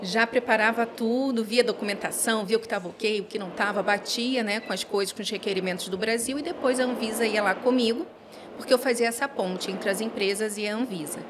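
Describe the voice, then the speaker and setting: A woman in her forties with a mixed Brazilian accent, telling her story in an expressive, chatty way as a podcast guest. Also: mid-range voice, a little fast, noisy background. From Rio de Janeiro and São Paulo, Brazil. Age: 40s. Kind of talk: casual interview storytelling.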